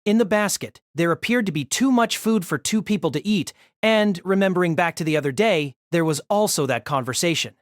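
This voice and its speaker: A woman's voice: lowish voice, lively intonation, a little fast, no echo, studio quality. A woman reading scripted narration of a light novel as the narrator of an audio drama.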